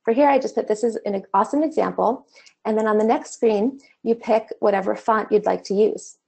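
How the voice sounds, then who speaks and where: Slightly gravelly woman explaining step by step. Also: medium pitch, brisk, darkish tone. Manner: casual, conversational explaining with fillers. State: slightly losing her voice. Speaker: online course instructor. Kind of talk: screen-share tutorial walkthrough.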